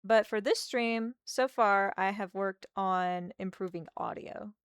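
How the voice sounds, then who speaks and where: A woman with a slightly hoarse voice from a sore throat, chatting casually and thinking aloud while she draws on a livestream. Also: medium pitch, measured pace, no echo, studio quality. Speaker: art streamer.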